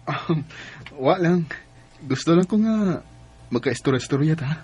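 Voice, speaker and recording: A man with a Cebuano accent playing a smooth, flirtatious co-worker courting a woman in a radio drama. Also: a little high, animated, a little mellow. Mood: flirtatious, coaxing. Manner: flirtatious, unhurried. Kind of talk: Bisaya radio drama acting.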